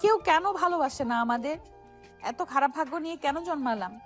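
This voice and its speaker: Young heroine played by a woman for romantic audio drama: high-pitched, emphatic, wounded but firm, over soft music. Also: fast, lightly acted. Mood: hurt, sorrowful. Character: wronged young woman, stern yet deeply hurt daughter. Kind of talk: Bengali audio story drama acting. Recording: music behind the voice.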